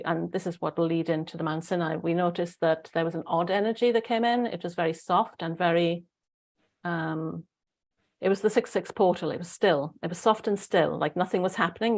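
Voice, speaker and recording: A woman with a Welsh accent hosting a casual spiritual group discussion in an informal, rambling way. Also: lowish voice. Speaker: host.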